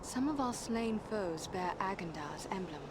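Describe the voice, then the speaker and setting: An actress playing a determined, courteous adventurer in a fantasy game, speaking in a poised, formal way. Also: female voice, medium pitch, expressive, unhurried, background noise, close to an everyday voice. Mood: courteous, earnest. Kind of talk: fantasy game cutscene acting.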